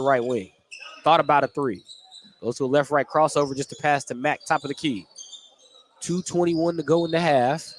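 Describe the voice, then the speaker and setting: A man doing live play-by-play radio commentary on a basketball game, describing the action in short, clipped phrases. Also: fairly high pitch. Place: indoor school gymnasium during a game. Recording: noisy live gym, clicks and ball bounces. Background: loud game noise, bouncing basketball.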